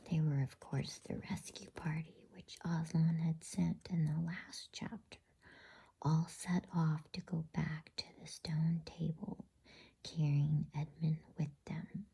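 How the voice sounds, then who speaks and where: Woman doing whispered asmr bedtime story, super breathy, mellow, takes her time. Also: deep voice, steady tone. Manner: soft, unhurried, hushed.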